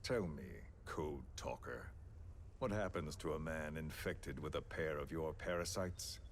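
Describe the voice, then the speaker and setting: A menacing, philosophical game villain with a very low voice. He talks slowly and deliberately, condescending and theatrical as he coerces his captive. Faint noise sits behind the voice. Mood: smug, persuasive. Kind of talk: dramatic video game voice acting.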